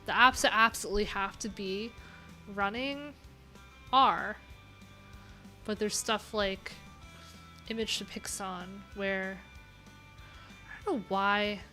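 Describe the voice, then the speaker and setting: A woman's voice: mid-range voice, fairly even intonation, slow, long pauses, pushed and bright, faint music behind. A woman chatting casually on her own livestream, rambling with many fillers and restarts. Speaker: live coding streamer.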